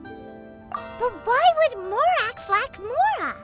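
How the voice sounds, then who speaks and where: A very high, squeaky, childlike fairy for a video game, excitable and cheeky. The delivery swoops widely and takes its time. Music plays clearly behind the voice. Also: female voice, very slow, exaggerated voice. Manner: exclamatory, speaks of self in third person. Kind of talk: video game character acting. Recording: background music throughout.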